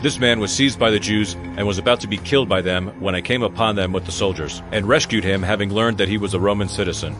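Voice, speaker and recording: A man's voice: fairly low pitch, fast talker, hardly any pauses, over music, close to an everyday voice. A man playing a stern Roman military tribune in a dramatized Bible reading, authoritative and formal.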